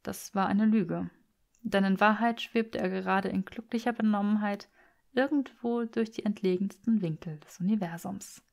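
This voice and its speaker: A woman's voice: medium pitch, moderate pace, creaky, darkish tone. Woman narrating an audiobook expressively. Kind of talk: expressive scripted story narration.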